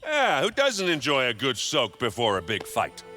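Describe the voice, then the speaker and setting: A man playing a boisterous, jokey, goofy warrior sidekick in an animated comedy video game. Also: mid-range voice, moderate pace, soft background music. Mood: casual, sarcastic.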